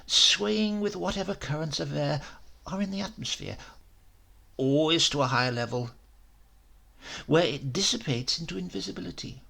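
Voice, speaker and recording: Man acting a grandiose, gravel-edged mad professor. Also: a little high, sharp tone, full character voice. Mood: fervent, lecturing. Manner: grandiose, intense, deliberate. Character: grim, obsessed male Italian professor of crank science. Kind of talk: dramatised horror audiobook.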